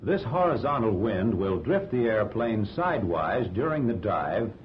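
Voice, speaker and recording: An American man reading scripted instructional narration for a 1940s training film in a measured, didactic way. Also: sing-song. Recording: dull 1940s film soundtrack, rumbling noise.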